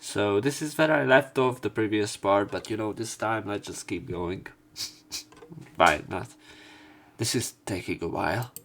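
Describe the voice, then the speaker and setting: A man's voice: fairly low pitch, measured pace, gravelly, a bit bright. A man reading visual novel story text aloud as a let's play narrator, with some commentary. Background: game music.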